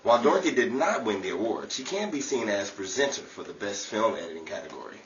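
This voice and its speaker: A man's voice: on the low side, expressive, fast talker, a bit bright, lo-fi sound. A man giving scripted historical narration as the narrator of a program. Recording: noisy, echoey, distant, with crowd behind.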